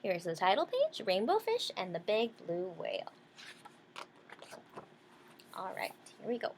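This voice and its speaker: A woman's voice: mid-range voice, huge pitch swings, measured pace, a little hiss or hum. A woman reading a children's storybook aloud, adding simple explanations for young listeners. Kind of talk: children's picture-book reading with simple asides.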